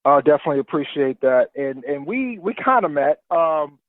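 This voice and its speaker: A man's voice: on the high side, expressive, moderate pace, dry recording. A man hosting a podcast, giving announcements and introducing a guest in a rambling, casual way with many fillers. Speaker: podcast host. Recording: dry phone-line sound.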